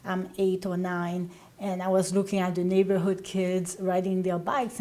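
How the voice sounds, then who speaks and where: A woman's voice: mid-range voice, a little mellow. A woman in her thirties from Haiti, telling personal stories conversationally as an interview guest.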